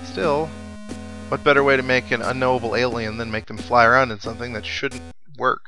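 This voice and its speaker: A man plays through a video game and comments casually as he goes. His voice sits at an average pitch, and he muses to himself between readings of on-screen text. Game music plays clearly behind him. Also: steady tone, a little fast, sharp tone, rough recording. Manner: musing, talks to himself. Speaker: let's play commentator. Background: video game music and sound effects.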